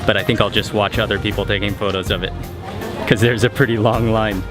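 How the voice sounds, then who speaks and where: A man's voice: lowish voice, fairly even intonation, fairly quick. A man hosting a travel vlog, talking casually to the camera as he narrates his outing. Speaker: travel vlogger, host. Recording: music behind, outdoor street sounds. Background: music, street and vehicle sounds.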